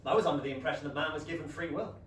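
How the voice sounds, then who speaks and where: A man acting a wry, wounded gentleman in a staged play reading, measured, articulate and probing. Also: on the high side, close to an everyday voice. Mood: challenging. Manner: ironic, probing, cutting. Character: wry, sceptical, wounded gentleman in love with his friend. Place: small theatre, recorded from a distance. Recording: roomy, distant theatre recording, faint noise.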